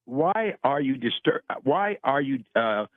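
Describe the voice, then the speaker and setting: A man calling in to a political show, arguing defensively and haltingly over the phone. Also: mid-range voice, very animated, dry recording. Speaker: caller. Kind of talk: political argument. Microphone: phone call. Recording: thin, narrow phone-line sound.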